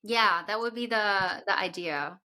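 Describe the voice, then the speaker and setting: A young woman with a bright, forward voice talks through ideas in a relaxed podcast chat. She explains at length, pausing often with ums while she finds her words. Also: medium pitch. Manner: thoughtful, explains at length with many fillers. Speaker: podcast co-host. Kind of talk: casual chat.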